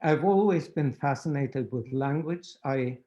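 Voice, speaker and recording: A man's voice: mid-range voice, unhurried, dark tone. A middle-aged man from Romania, giving a scientific lecture and checking in with "right?" as he explains. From Romania (Transylvania).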